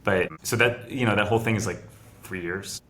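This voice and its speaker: A man's voice: fairly low pitch, fairly quick, bright, forceful tone, slight background noise. A middle-aged man from France, a founder on a video call, explaining a long process step by step with restarts. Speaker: biotech founder and guest. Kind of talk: casual video interview.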